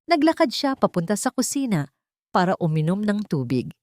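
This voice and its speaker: A woman's voice: medium pitch, sing-song, normal speed, a little mellow, no room sound, studio quality. A woman storyteller reading scripted dramatic fiction in a suspenseful, emphatic manner.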